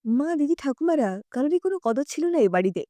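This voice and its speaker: Woman voicing a mild, mellow young husband. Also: high voice, sing-song, fast, no room sound. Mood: resigned. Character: mild, soft-natured young married son, quietly supportive.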